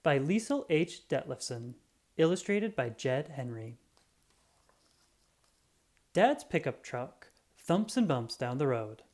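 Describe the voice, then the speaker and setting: A reader reading a children's picture book aloud in an expressive storytelling manner. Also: medium pitch, slow, pauses often.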